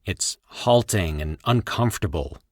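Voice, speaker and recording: A man hosting and narrating a fiction podcast in a deliberate, literary storytelling delivery. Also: deep voice, animated, darkish tone, no echo, studio quality.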